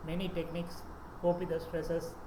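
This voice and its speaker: A man's voice: a little high, monotone, moderate pace. A man with an Indian English accent, a native Tamil speaker, giving a presentation and reading out lists with occasional fillers and restarts. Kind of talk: lecture presentation. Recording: echoey room with background noise.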